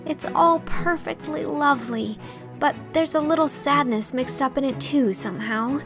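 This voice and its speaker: A woman voicing a bright, romantic young heroine in an audiobook, with earnest, emphatic delivery. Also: sits high, normal speed, music in the background, pitched above the performer's own voice. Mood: tearfully happy. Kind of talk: audiobook character reading.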